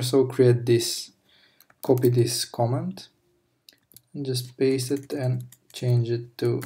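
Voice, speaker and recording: A man talking through a coding tutorial over a screen recording, explaining step by step with pauses and self-corrections. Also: medium pitch, warm tone. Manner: slow, halting explanation with fillers and self-corrections. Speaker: programming tutor.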